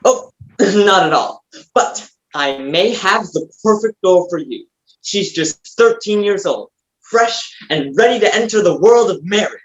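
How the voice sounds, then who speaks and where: A man playing an eager, dim-witted, flattering manservant with a deferential, hesitant manner, in a comic stage play reading. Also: high-pitched, unhurried, lo-fi sound.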